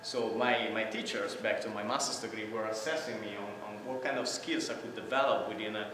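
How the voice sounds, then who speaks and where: A man's voice: on the low side, fairly even intonation, brisk, background noise. Italian-accented man lecturing in an echoey hall. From Italy. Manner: explanatory, with frequent "uh" and "right?" check-ins. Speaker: conference presenter. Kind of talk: academic conference talk. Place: large conference hall. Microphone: room microphone heard from far off. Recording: echoey hall recorded from far off.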